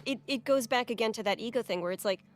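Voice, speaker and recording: A woman's voice: on the high side, fast talker. A woman giving casual, analytical commentary on a story in conversation.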